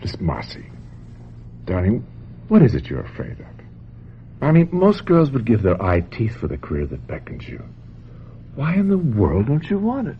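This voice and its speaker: An actor playing a manipulative, domineering older film director in a radio drama, speaking theatrically and insistently with deliberate, condescending emphasis. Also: male voice, medium pitch, huge pitch swings. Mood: coaxing, controlling. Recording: dull, noisy old radio drama recording.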